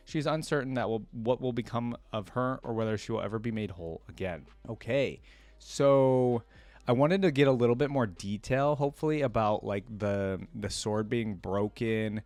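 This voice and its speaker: A man's voice: mid-range voice, normal speed, darkish tone, no room sound. A man hosting a reaction video, reading lore aloud and adding casual commentary.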